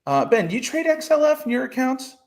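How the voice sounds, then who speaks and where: A man's voice: very high voice, big pitch swings, a little fast. A man hosting a casual trading podcast over a video call, rambling with lots of fillers and restarts. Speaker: podcast host.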